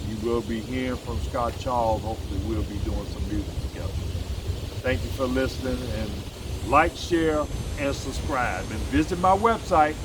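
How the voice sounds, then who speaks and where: A man's voice: mid-range voice, background noise. A man in his sixties from the United States, talking alone in a casual vlog about his own life, rambling and correcting himself, with blunt, emphatic asides. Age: 60s. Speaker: vlogger and musician. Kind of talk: casual solo vlog monologue.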